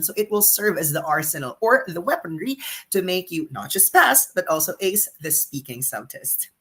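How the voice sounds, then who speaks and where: A man's voice: fairly high pitch, fairly bright tone, slightly rough. A man coaching in a webinar lesson, emphatic and repeating his key points. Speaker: exam speaking coach.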